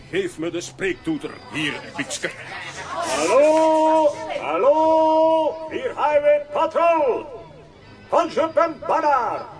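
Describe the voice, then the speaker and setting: A man playing a bossy, excitable, pompous comic hero giving orders in a children's audio drama. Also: very high-pitched, exaggerated voice. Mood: calling out. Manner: pompous, commanding.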